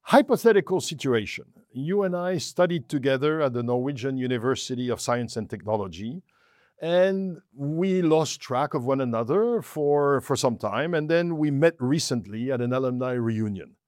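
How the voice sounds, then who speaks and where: Male interviewer, mid-pitched voice, attentive and composed, dry recording. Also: expressive, normal speed, darkish tone, no room sound. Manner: attentive, brief affirmations, composed questions. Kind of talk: interview questions.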